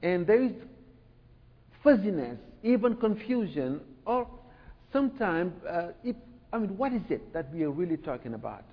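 A middle-aged man from Senegal who grew up in France, giving a talk in a relaxed, conversational way with many fillers and asides. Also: sits high, normal speed, slightly rough. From Senegal, raised in France. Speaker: speaker, church representative. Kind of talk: sermon-style lecture. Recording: dull sound with long hall reverb.

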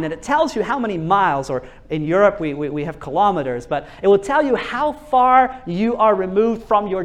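A man preaches a sermon in an earnest, urgent way. He often repeats words as he builds momentum. He turns to his listeners with questions to draw them in. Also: sits high. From Norway.

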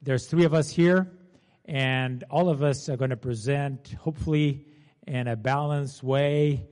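A man's voice: medium pitch, fairly even intonation, unhurried, darkish tone. A man in his forties with a Portuguese accent, giving an informal seminar talk and often asking the audience to confirm. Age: 40s. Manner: chatty, asks the audience to confirm. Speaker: seminar presenter. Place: seminar room. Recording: long hall reverb.

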